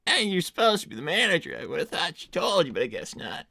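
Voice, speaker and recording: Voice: mid-range voice, big pitch swings, fast, gravelly, pushed and bright, no echo, studio quality, heavily acted. A performer voicing an anxious, sleep-deprived young fox boy who stammers and rambles in an improvised cartoon roleplay. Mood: awkward. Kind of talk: improvised in-character livestream roleplay.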